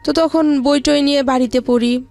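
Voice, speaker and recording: A woman recounting her own life story as an interview guest, with halting, hesitant delivery. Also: high voice, fairly even intonation, creaky, darkish tone, faint music behind. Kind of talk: personal life-story narration.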